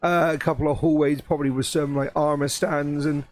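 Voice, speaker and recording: Streamer: man, somewhat high, a bit croaky, excited rambling talk over soft background music. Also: a little fast. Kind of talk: casual live-stream chat while building.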